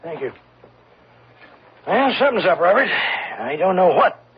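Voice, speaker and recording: An actor playing an anxious, deferential business subordinate, hesitant and confiding, in an old-time radio drama. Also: male voice, high voice, very animated, unhurried, husky, exaggerated voice. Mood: worried. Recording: muffled, noisy old radio broadcast.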